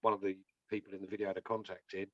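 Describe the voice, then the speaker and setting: A man's voice: low-pitched, flat delivery, very fast, no room sound. A man giving an enthusiastic and encouraging review in a casual chat. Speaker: guest reviewer. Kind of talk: casual video call chat. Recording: dull lo-fi mic.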